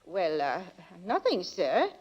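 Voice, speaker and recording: An actress playing a flustered, deferential wife in a 1940s radio drama, hesitant and breathless. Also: female voice, lowish voice, huge pitch swings, slow pace, a little mellow, exaggerated voice. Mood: nervous, deferential. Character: flustered, deferential British wife, Missus Bunting. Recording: muffled, noisy 1940s radio recording.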